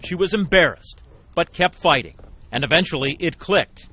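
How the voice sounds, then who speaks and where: A man's voice: a little high, lively intonation, moderate pace, sharp tone, slightly rough. A man narrating a scripted television news feature as a reporter, with emphatic storytelling delivery in short phrases.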